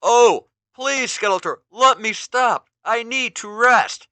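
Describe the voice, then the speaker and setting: A man's voice: high voice, big pitch swings, a little slow, sharp tone, no room sound, voice pushed up, exaggerated voice. A man voicing a tired, simple male brute who whines and pleads. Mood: whiny. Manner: whining, pleading. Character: exhausted simple-minded male brute, whiny.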